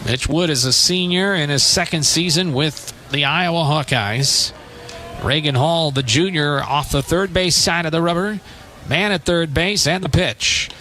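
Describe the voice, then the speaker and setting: A man doing live radio baseball play-by-play in clipped phrases mixed with measured description. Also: on the high side, sharp tone, faint music behind. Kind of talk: live radio sports commentary.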